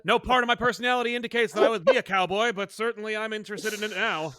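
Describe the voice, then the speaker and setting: A man's voice: high voice, rapid-fire, bright, forceful tone, no room sound, studio quality. A man hosting a podcast in casual chat, joking and turning theatrical when he quotes people. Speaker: podcast host.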